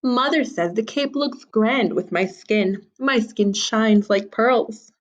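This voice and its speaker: A woman's voice: a little high, slow, lo-fi sound, pitched above the performer's own voice. A woman voicing a vain, boastful little girl from a storybook, with a preening, singsong delivery. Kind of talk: children's storybook character reading.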